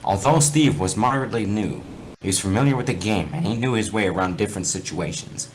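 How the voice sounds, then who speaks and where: A man's voice: fairly low pitch, fast talker. A man reading aloud and talking casually in an amateur, sweary way over a game voice chat. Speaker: fan fiction reader. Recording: noisy voice chat recording.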